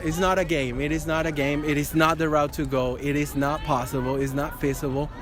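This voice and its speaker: A man's voice: a little high, little pitch movement, brisk. A man from Venezuela speaking emphatically as an interviewee, repeating his points. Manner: emphatic, repetitive. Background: music.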